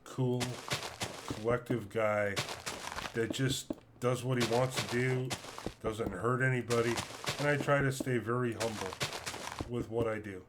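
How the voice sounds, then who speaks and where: A middle-aged man with a deep voice, chatting calmly and casually with viewers on his live stream from home. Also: unhurried, heavy background noise. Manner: calm, laid-back, chatty with viewers.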